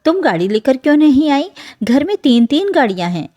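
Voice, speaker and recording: Woman acting hurt elderly father, animated delivery. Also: sits high, brisk, lo-fi sound. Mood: puzzled. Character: hurt, bewildered elderly father back from working abroad.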